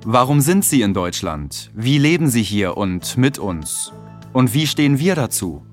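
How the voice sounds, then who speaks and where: A man reading short news texts and hosting a scripted radio call-in in a measured, clear way. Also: mid-range voice, big pitch swings, faint music behind. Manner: measured, clear read-aloud delivery. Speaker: reader of exam listening texts.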